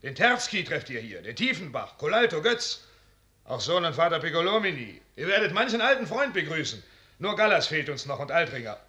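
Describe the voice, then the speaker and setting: Old radio drama: blunt, sardonic field marshal, higher-pitched, forceful, declamatory. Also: male voice, big pitch swings, a little fast. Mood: hearty welcome. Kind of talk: 1950s German radio drama verse acting.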